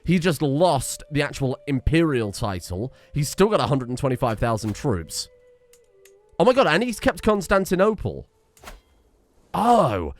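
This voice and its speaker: A young man giving casual, excitable commentary on a strategy game, often exclaiming and restarting his sentences. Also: mid-range voice. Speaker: gaming streamer. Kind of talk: casual let's-play commentary.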